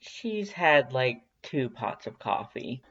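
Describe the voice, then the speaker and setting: A reader voicing a friendly, slightly awkward homeowner, casual, hesitant and gently teasing, in a fan fiction audiobook. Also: medium pitch, very animated, slow pace, dull audio, exaggerated voice.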